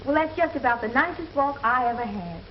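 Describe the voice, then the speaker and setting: A woman's voice: high-pitched, expressive, brisk. An actress playing a guarded young showgirl in a 1930s American film comedy, with dry, cool retorts. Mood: friendly. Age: young adult. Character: wry, guarded young showgirl down on her luck. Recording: muffled, noisy 1930s film soundtrack.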